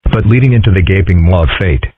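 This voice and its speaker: A man voicing a calm, deadpan, philosophical young boy with formal wording in a cartoon comic dub. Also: deep voice, fast, dry recording. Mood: musing. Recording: tinny, phone-like audio.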